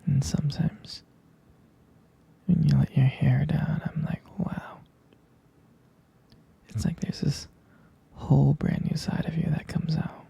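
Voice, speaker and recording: A person whispering intimately and close to the microphone in a romantic ASMR roleplay as a tender, adoring vampire lover, with hesitant pauses. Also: mid-range voice, flat delivery, mellow. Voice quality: breathy, husky whisper. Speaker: ASMR performer playing a loving partner.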